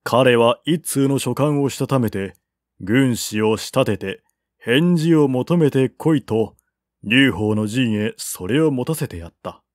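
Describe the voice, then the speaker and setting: Slow, measured male novel narrator. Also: mid-range voice, expressive, no echo, clean studio sound. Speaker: audiobook narrator. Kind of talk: dramatic reading of a historical novel.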